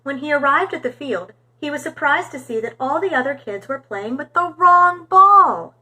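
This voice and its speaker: A woman reading a storybook aloud for young children in an expressive, animated storytelling manner. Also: high voice, moderate pace, poor audio.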